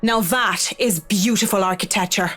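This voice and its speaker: An actress playing a confident, wry young interior designer in a visual novel game, articulate and teasing. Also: female voice, medium pitch, moderate pace, fairly bright tone, soft background music, lightly acted. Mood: wry enthusiasm. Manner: articulate, teasing, self-assured.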